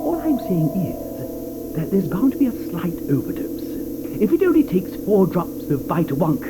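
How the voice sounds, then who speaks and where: An actor playing an eccentric, fussy chocolatier in a studio radio play, talking theatrically and grandly. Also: male voice, sits high, big pitch swings, normal speed, heavy background noise. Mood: breezy. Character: eccentric, fussy, flamboyant adult male chocolatier.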